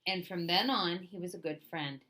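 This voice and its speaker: Bright-voiced woman reading a picture book. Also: fairly low pitch, moderate pace, poor audio. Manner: expressive, lively storytelling. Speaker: children's storytime reader.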